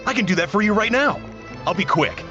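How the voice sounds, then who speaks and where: An eager young village man from a game, a bit high in pitch and lively. Music plays clearly behind him. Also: brisk, dull audio. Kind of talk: video game voice acting. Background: prominent game music.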